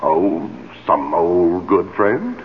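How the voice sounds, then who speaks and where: An actor playing the aloof ghost of a dead husband in a 1970s radio drama, speaking formally with a dry, weary, commanding manner. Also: male voice, low-pitched, very animated, very slow, narrow, tinny audio, heavily acted. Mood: slyly suggestive. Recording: noisy, band-limited old radio recording.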